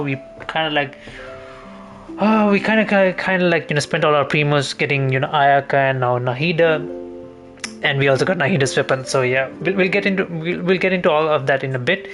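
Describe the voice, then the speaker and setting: A man's voice: fairly high pitch, fairly bright tone. A man chatting casually as a live game streamer, rambling and repeating phrases as he thinks.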